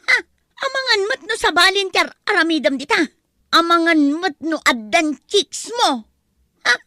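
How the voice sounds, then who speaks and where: A woman playing a jealous, suspicious girlfriend who scolds with sharp, demanding questions in an Ilocano comedy audio drama. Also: extremely high pitch, lively intonation, moderate pace, no echo, clean studio sound, exaggerated voice. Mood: scolding. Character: jealous, nagging, suspicious girlfriend, quick to accuse.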